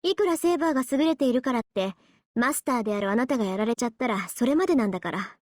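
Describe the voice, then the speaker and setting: A woman playing a proud, easily flustered teenage girl mage in a Japanese visual novel, brisk and scolding. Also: on the high side, lively intonation, a little mellow, dry recording, clean studio sound. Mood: resigned, admonishing.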